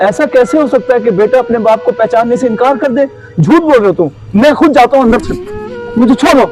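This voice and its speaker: A man's voice: very high voice, expressive, music in the background. A man acting as a trusting, devout elderly village father in a moral drama, earnest and full of pious words. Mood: hurt, indignant. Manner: earnest, pious, speaks in blessings. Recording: dull lo-fi audio, roomy echo.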